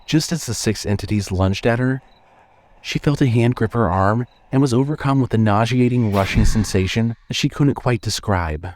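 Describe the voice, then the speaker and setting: A man reading scripted horror fiction as narrator, in a measured storytelling manner. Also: mid-range voice, slight background noise.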